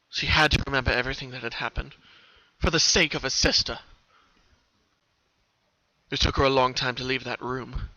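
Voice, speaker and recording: Creaky-voiced man reading a dark story. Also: medium pitch, no echo. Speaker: fan fiction reader. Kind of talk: dramatic scripted story narration. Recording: dull, muffled home recording.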